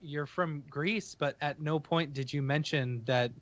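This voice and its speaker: A man's voice: a little high, unhurried, dry recording, dull audio. A man co-hosting a podcast who jokes and teases in casual chat. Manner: jokey and teasing. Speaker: podcast co-host.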